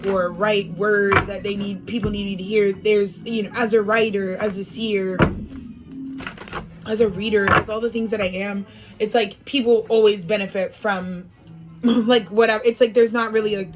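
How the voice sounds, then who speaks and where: A woman giving a casual spiritual monologue as an astrology speaker, a little sniffly, rambling with lots of fillers and free swearing. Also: medium pitch.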